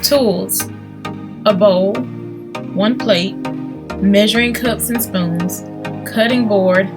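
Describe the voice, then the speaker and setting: A woman's voice: mid-range voice, slow pace. A woman giving a scripted, step-by-step instructional voice-over for a recipe demonstration. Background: music under the voice.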